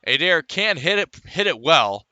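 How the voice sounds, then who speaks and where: A man's voice: a little high, very animated, normal speed, pushed and bright, no room sound. A man calling baseball live as a radio play-by-play announcer, fluently reciting stats and lifting his delivery on each play. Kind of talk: live sports play-by-play commentary.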